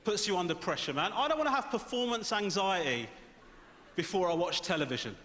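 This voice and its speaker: A man with a British accent doing a stand-up comedy set on a theatre stage, telling stories in a dry, deadpan way. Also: sits high, brisk. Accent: British English. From Sri Lankan heritage. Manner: dry, deadpan storytelling with lively swings. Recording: roomy theatre with loud audience laughter. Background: audience laughing.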